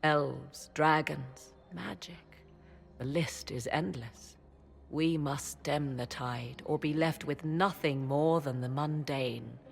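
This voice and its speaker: A woman playing a proud, mysterious witch of the wilds in a video game, with formal, archaic, measured diction. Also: deep voice, gravelly, faint music behind, exaggerated voice. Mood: solemn conviction. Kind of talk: dramatic video game dialogue.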